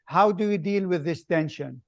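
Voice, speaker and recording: A man hosting an interview, asking questions slowly and hesitantly with many fillers. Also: sits high, no echo. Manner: slow, hesitant, many uhs. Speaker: host. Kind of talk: video call interview.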